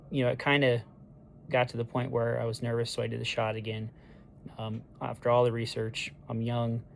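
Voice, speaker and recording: A man in his thirties with a hoarse voice the day after neck surgery, talking through a casual video diary in a halting way, full of ums and restarts. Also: mid-range voice, slight background noise. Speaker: patient sharing a recovery diary. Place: hospital room on discharge day.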